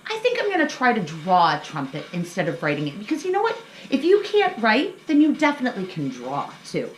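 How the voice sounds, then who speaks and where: Middle-aged lady talking kids thru a craft, real up and down pitch, echoey room w a bit of noise. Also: wildly swooping pitch, a little fast. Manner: simple, encouraging explanations aimed at young children. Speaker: children's story time host.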